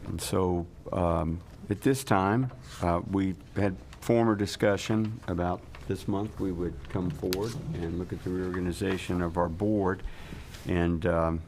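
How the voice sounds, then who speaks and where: A very low-voiced man chairs a board meeting, running motions in a formal, procedural way. Faint background noise sits under the room mic. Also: a little mellow.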